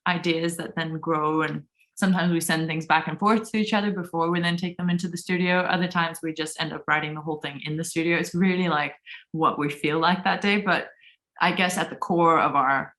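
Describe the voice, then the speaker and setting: Low-voiced Danish-born woman musician. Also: a little fast. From Denmark. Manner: relaxed, thoughtful, trailing off and restarting. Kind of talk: casual interview answers.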